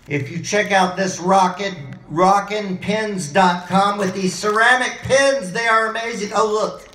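Hoarse, gravelly man rambling at home. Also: high-pitched, a little hiss or hum. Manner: excitable, rambling, jumps between topics. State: hoarse, losing his voice. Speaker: vlogger. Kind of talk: rambling home video monologue. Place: living room at home, indoors. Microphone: desk mic on a boom arm, webcam framing. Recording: roomy home echo.

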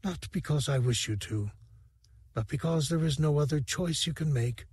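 A man reading the lines of a dying female serpent-like alien warrior, speaking softly, slowly and soothingly. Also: a little high, slightly rough, dry recording, pitched above the performer's own voice. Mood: calm tender. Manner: soft, slow, hushed and soothing.

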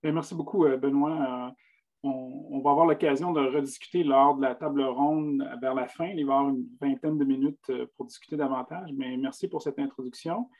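A man in his thirties with a Quebec French accent, moderating a panel on a video call and asking long, halting questions. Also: medium pitch, steady tone, muffled recording. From Abitibi-Témiscamingue, Quebec. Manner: wordy, halting questions with restarts. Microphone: webcam on a video call.

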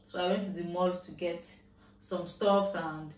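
A woman's voice: lowish voice, fairly even intonation, measured pace, darkish tone, a little hiss or hum. Chatty Nigerian woman vlogging from home. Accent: Nigerian, Yoruba. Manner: chatty, mixing in Nigerian Pidgin phrases. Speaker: shopping haul vlogger. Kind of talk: casual chat to camera. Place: at home, indoors. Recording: roomy, mic at a distance.

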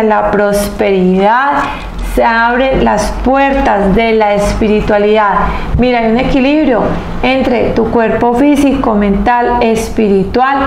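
Very creaky-voiced woman reading tarot. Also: on the high side, darkish tone. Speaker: tarot reader and host. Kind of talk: spiritual tarot reading to camera. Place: small indoor room, seated at table. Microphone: clip-on lapel mic. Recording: roomy echo with faint background noise.

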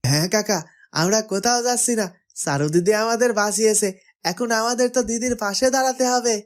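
A woman's voice: on the high side, brisk, close to an everyday voice. A woman voicing a loyal, earnest young male street-food seller in a cartoon. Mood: earnest, determined. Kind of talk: cartoon voice acting.

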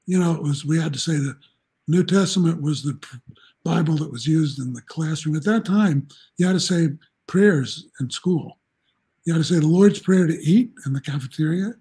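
This is an older man in his seventies, speaking from a room in his home over a video call. His voice is mellow and a bit husky, sitting somewhat high for a man. He tells stories in a loose, rambling way, chuckling and restarting his sentences. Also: moderate pace. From New Jersey, United States. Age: 70s. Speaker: guest, journalism professor. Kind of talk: casual interview storytelling.

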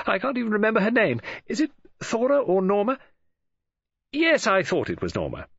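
A man voicing an excitable, scatterbrained middle-aged woman novelist in an audiobook, gushing and rambling in a flustered way. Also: sits high, moderate pace, creaky, husky, no room sound, highs cut off, pitched above the performer's own voice, full character voice. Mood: chatty, gushing. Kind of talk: audiobook character dialogue.

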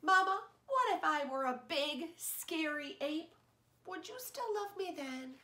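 An eager little child, as a woman reading a storybook puts the voice on, pressing one question after another. The voice sits high and bright and leaps around with excitement. It rings out in a roomy, echoing space. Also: slow, slight background noise, exaggerated voice. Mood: eager pleading. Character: small child, eager and pleading. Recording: roomy echo.

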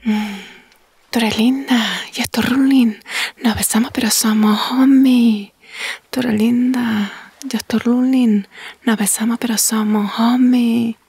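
A woman playing a relaxed, tender young woman in love in an intimate audio drama, murmuring breathily. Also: on the high side, measured pace, husky, dry recording, full character voice. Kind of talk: intimate audio fiction acting.

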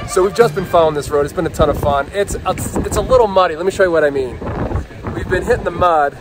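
A man's voice: sits high, fast talker, over music. A man in his thirties hosting a casual off-road vlog outdoors, upbeat and laughing, talking into a camera at arm's length. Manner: upbeat, excited, laughs often. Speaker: vlog host. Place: outdoors on a muddy mountain trail.

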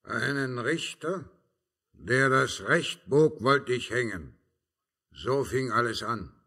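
An actor playing a grimly defiant condemned rebel in a 1950s German radio verse drama. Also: male voice, medium pitch, animated, slow, sharp tone, husky, heavily acted.

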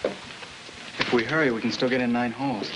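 A man's voice: medium pitch, fairly even intonation, moderate pace, lightly acted. An actor playing a polite, helpful teenage boy in a 1950s film style. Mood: agreeable. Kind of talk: 1950s American film acting. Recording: noisy old film soundtrack.

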